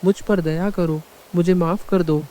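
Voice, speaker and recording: Dark-toned, anxious fisherman. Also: high-pitched, steady tone, unhurried. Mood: pleading. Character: frightened, humble poor fisherman, pleading for mercy. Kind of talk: animated fairy tale acting.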